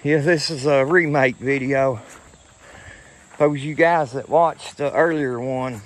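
A man's voice: mid-range voice, slow. A man, rambling folksy to viewers as he walks outdoors and films on his phone. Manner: rambling, folksy, talks to viewers while walking. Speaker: vlogger. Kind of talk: casual walking vlog. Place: rural roadside outdoors. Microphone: phone camera held while walking. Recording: outdoor phone audio with rural noise.

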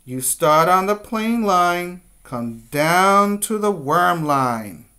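A middle-aged man giving a preschool lesson as a teacher, with deliberate, repetitive step-by-step instructions, recorded indoors on a webcam. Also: high-pitched, big pitch swings, pushed and bright. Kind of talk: instructional video lesson for young children. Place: small room indoors. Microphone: webcam at desk distance.

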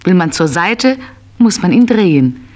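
A woman's voice: on the low side, sing-song, unhurried, darkish tone. A woman narrating a scripted children's story, telling it as if to small children.

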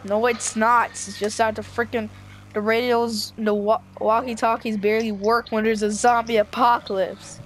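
An excitable game player improvising zombie roleplay, blurting short exclamations into a home gaming mic. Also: mid-range voice, normal speed, a little hiss or hum.

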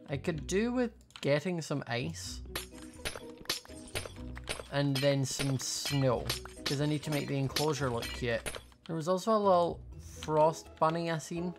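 A young woman giving casual, playful gameplay commentary, thinking aloud as she hosts a gaming video. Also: low voice. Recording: faint music behind voice. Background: faint music.

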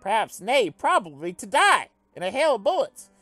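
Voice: medium pitch, very animated, normal speed, a bit bright, no room sound, soft background music, pitched above the performer's own voice. A reader voicing a zealous, bouncy male alien revolutionary who preaches in fervent speeches.

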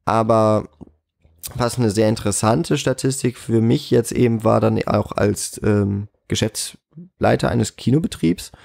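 A man in a casual interview as a guest, slightly croaky from a cold, speaking in a hesitant, reflective way. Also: fairly low pitch, a little slow, a little mellow, no room sound, studio quality. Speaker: interview guest, cinema manager.

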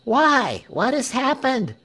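A man voicing excitable, astonished villagers in a storybook reading, with urgent exclamations. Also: very high-pitched, takes it slowly, slightly rough, voice pushed up, full character voice.

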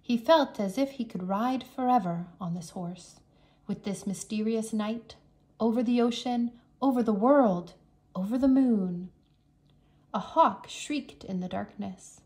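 A woman reads a children's book aloud as a teacher would. Her voice is fairly high and her pace is very slow. She narrates carefully, with expressive storytelling. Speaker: English teacher reading aloud.

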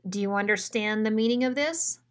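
A woman's voice: mid-range voice, a little fast, natural voice. A cocky, bossy dog from a children's storybook, read by a woman. He gives orders with emphatic self-assurance. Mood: cocky, commanding.

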